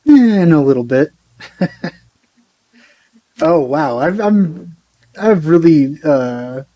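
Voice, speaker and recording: A man's voice: mid-range voice, mellow, rough recording. A man hosting a let's play, giving casual, hesitant, rambling commentary as he plays. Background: faint game music.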